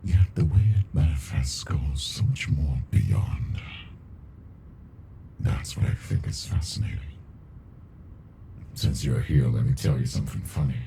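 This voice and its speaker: A man voicing an ancient, weary alien monster in captivity for an ASMR roleplay, speaking in a gravelly, brooding murmur, condescending yet intimate. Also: lively intonation, measured pace, long pauses, background noise, full character voice. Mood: fascinated, amused. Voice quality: very deep, gravelly, breathy murmur. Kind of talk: ASMR character roleplay monologue.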